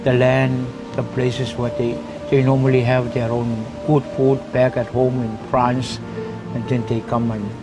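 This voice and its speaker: Casting: male priest, Kiribati accent, testimonial delivery with frequent "eh?" tags, mid-pitched, set over a music bed. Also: level tone, measured pace, low-quality recording. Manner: often ends phrases with "eh?". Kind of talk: personal testimony to camera in a mission film.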